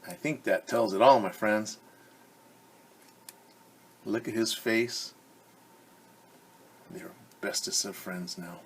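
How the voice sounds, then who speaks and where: A male teacher reading a picture book aloud to children, pausing to explain words and ask questions. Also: fairly low pitch, breathy edge. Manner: warm, questioning teacher talk to kids.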